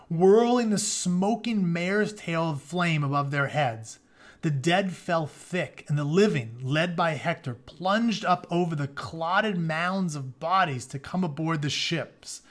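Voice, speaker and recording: Higher-pitched man reading aloud as a teacher. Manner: animated storyteller, colloquial asides. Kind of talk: dramatic read-aloud with casual explanatory asides.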